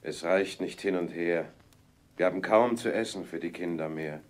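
A poor, soft-hearted woodcutter father played by a man in a fairy-tale audio play. His voice is low, heavy and plaintive. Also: moderate pace, close to an everyday voice. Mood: despairing. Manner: heavy, plaintive rhymed lines.

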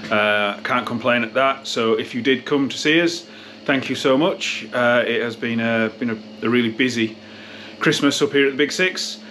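A man's voice: medium pitch, moderate pace, bright, forceful tone, a little hiss or hum. A British man giving a casual, matter-of-fact running commentary as a pub landlord in a behind-the-scenes vlog. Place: inside a pub and its cellar.

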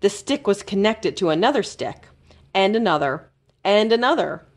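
A woman storyteller reading a children's book aloud expressively, with careful, dramatic emphasis and pauses. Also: mid-range voice, lively intonation. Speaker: library storyteller.

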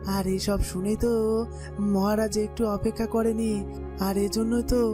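A woman voicing a concerned fairytale cartoon figure, explaining things in a halting way. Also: mid-range voice, little pitch movement, over music, natural voice. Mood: grave. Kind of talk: Bengali fairytale cartoon dialogue.

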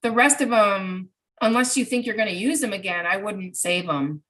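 Middle-aged woman, medium pitch, informal workshop presenter, step-by-step explanations, computer mic on a video call. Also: normal speed, fairly bright tone. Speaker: presenter answering participants' questions. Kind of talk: informal online workshop chat. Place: home craft room, indoors.

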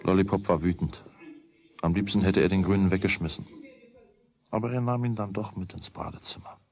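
A man narrating a children's radio play as a wry, deliberate storyteller with a husky voice. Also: low voice, a little mellow. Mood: wry, measured. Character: wry storyteller of a boy's everyday troubles.

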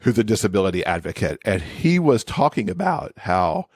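A man's voice: lowish voice, big pitch swings, normal speed, darkish tone, husky, no room sound, clean studio sound. A man speaking as an expert podcast guest, explaining things in a conversational interview style.

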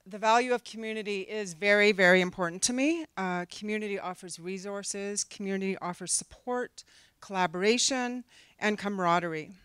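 A middle-aged woman with a Canadian accent reads her prepared campaign speech at a podium. Her voice is smooth and clear, held close to a handheld mic with a dry sound and little room echo. She stays earnest and steady, with a few small fillers. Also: medium pitch, a little fast. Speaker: candidate for a board seat. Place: conference room, in front of a backdrop.

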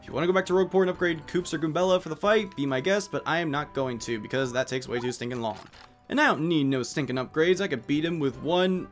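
A man's voice: on the high side, quick pace. Man doing casual game commentary over music. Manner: jokey, self-deprecating asides. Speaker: let's play host. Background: video game music.

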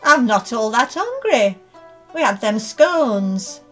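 A woman's voice: a little high, big pitch swings, slow, sharp tone, faint music behind, exaggerated voice. A woman playing a chatty, practical Northern English working-class wife in a radio play. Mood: mild, practical.

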